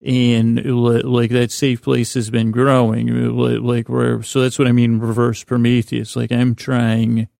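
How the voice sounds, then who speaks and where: A man's voice: medium pitch, little pitch movement, measured pace, vocal fry, hardly any pauses, dark tone, no room sound, clean studio sound. A man hosting a sleep podcast in lulling, soothing tones, rambling to the listener with stammered false starts and trailing thoughts. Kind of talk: rambling bedtime monologue, talking to the listener.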